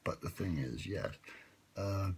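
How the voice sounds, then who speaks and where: A man's voice: deep voice, slow, a little hiss or hum. A man talking in a rambling, wry and self-mocking way, with many fillers, recorded close on a phone. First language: English. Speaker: vlogger pitching a radio show. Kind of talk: rambling late-night monologue. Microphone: smartphone held close.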